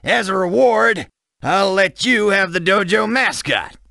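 A man voicing a brash, gruff, commanding military instructor in a video game. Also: sits high, expressive, a little slow, sharp tone, no echo, studio quality, full character voice. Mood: gruff, approving. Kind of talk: video game character dialogue.

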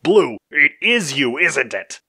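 A man's voice: a little high, sing-song, slow, vocal fry, bright, forceful tone, slightly rough, pitched above the performer's own voice, heavily acted. A man acts out a competitive, hot-headed male agent from an audio story. He is indignant and demanding, with a growl ready whenever he feels slighted. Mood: accusing. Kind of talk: acted audio story dialogue.

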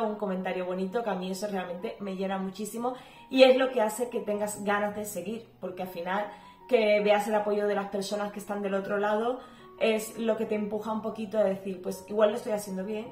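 A talkative woman in her thirties answers viewer questions from her bedroom, speaking casually to a camera at desk distance. Her voice sits in a middle pitch and carries the echo of the room, with faint music underneath. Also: a little mellow. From Cuba. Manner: chatty, talks a lot, frequent fillers. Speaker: home vlogger. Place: bedroom at home, indoors.

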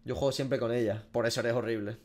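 A man's voice: medium pitch, fairly even intonation, fast. A man, giving casual, profanity-laced reaction commentary as a gaming streamer. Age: 20s. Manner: slangy, profane. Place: small room at home.

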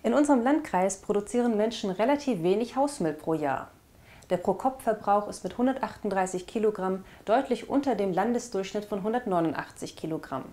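A woman's voice: mid-range voice, expressive, brisk, dark tone. A young woman presenting scripted local news as host, recorded indoors on a lapel mic. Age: young adult. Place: indoor studio with blue backdrop.

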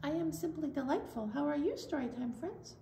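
A dainty little tiger puppet, voiced by a woman speaks to children in a high, sweet voice. It is polite and delighted, heard in an echoey room with some noise. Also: expressive, brisk, vocal fry, dark tone. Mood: cheerful, polite. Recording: roomy, distant mic, background noise.

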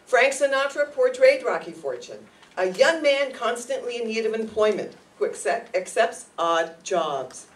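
A woman's voice: on the high side, animated, a little slow. A middle-aged woman presenter reading scripted narration to introduce a radio play to an audience in a room. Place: meeting room stage, indoors. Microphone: far camera. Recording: distant camera mic, background noise.

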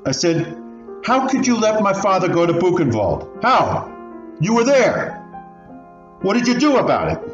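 A man playing an animated, pained old survivor. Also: sits high. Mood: pained, recounting, then anguished, accusing. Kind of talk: student radio play acting. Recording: dull, roomy recording with music.

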